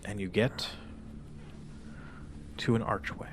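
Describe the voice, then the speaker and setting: A man acting as game master, narrating a tabletop game casually and haltingly, with informal pauses. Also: fairly low pitch, steady tone, slight background noise.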